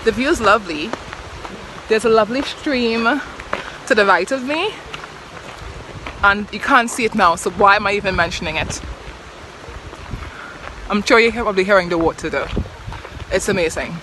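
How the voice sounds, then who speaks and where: Chatty young woman vlogging a hike. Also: mid-range voice. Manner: chatty, excitable, often laughing. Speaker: travel vlogger. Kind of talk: casual vlog narration. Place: outdoors on a mountain hiking trail by a stream. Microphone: phone held at arm's length. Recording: phone audio with rushing stream noise.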